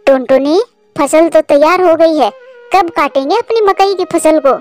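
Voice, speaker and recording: A woman's voice: high-pitched, measured pace, soft background music. A woman voicing a lazy, scheming female bird in a children's cartoon. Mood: prodding. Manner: self-indulgent, conniving. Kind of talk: Hindi children's cartoon voice acting.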